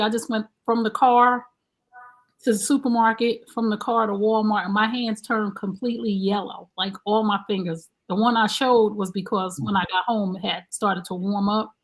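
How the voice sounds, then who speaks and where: A woman, hosting a live-streamed relationship talk show in a chatty, animated way. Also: medium pitch, normal speed, low-quality recording. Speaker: host.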